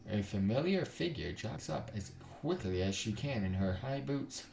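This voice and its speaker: A man's voice: fairly low pitch, lively intonation, normal speed, creaky, darkish tone, a little hiss or hum. A man reading a visual novel aloud as a gaming YouTuber, with dramatic delivery and his own commentary. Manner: dramatic reading, switches into character voices. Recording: roomy echo over guitar music. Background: guitar music.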